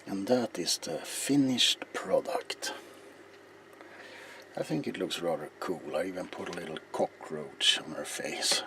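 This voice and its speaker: A middle-aged man hosts an ASMR craft video in a low, hushed voice. He talks very slowly and haltingly, often dropping to a whisper. Clear background noise sits behind him in a small indoor room. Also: lively intonation. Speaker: ASMR video maker and host. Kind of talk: ASMR, softly spoken craft demonstration to viewers. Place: small cluttered indoor workshop.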